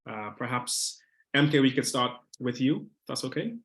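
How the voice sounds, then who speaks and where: Guy moderating an online panel, measured, lots of uhs. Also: medium pitch, animated, a bit bright. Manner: measured, hesitant with many fillers.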